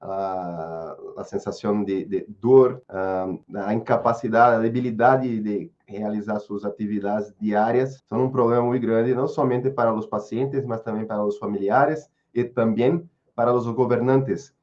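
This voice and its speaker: Man, Brazilian accent, native Portuguese speaker, halting, many fillers, dull lo-fi call audio. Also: lowish voice, steady tone, darkish tone. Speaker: guest speaker, researcher. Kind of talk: webinar presentation.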